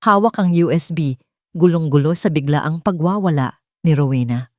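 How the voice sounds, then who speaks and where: A woman storyteller delivers scripted melodrama narration. Her voice sits a little low, and the recording is very dry, with no room sound. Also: normal speed, no echo.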